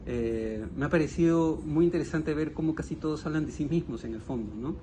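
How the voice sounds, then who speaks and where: A man's voice: a little high, fairly quick, mellow. A man, discussing a book conversationally in a reflective way and often searching for his words. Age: 50s. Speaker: guest writer commenting on a novel. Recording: noticeable background ambient noise.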